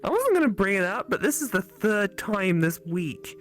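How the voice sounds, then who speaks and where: A woman's voice: medium pitch, sing-song, normal speed, soft background music, pitched above the performer's own voice, close to an everyday voice. A woman voicing a young female college classmate in a visual novel, frank, suspicious and scolding.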